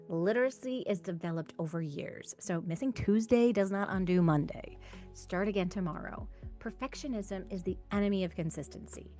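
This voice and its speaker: Woman presenter for parent tutorial, medium pitch, reassuring and emphatic, faint music underneath. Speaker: reading tutor and host. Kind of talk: instructional talk to camera for parents.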